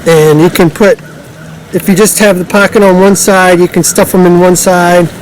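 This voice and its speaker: A man's voice: high voice, flat delivery, moderate pace, soft background music, poor audio. A man giving a casual, unscripted product demonstration, explaining loosely and informally with trailing sentences.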